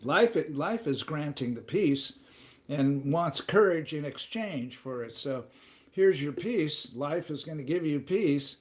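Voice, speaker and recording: A man's voice: a little high, animated, takes it slowly. A man speaking as a podcast panelist, musing hesitantly and trailing off as he thinks aloud. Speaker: panelist, pilot. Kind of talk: casual panel chat.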